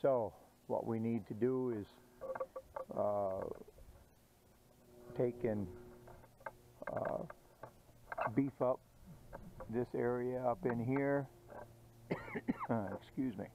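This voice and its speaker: A middle-aged man casually explaining a welding project outdoors, slow and halting with many "uh" pauses. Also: medium pitch, fairly even intonation, phone-quality sound, background noise. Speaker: DIY channel host. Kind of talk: casual how-to narration. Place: outdoors on a grassy yard.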